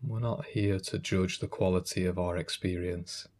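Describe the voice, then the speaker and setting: A man leading a guided meditation as a calm, gentle guide, giving unhurried instructions. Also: deep voice.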